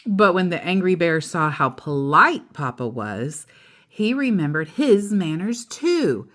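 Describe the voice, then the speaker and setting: A woman reading a storybook aloud to a child, expressive and chatty, with casual asides. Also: lowish voice, measured pace.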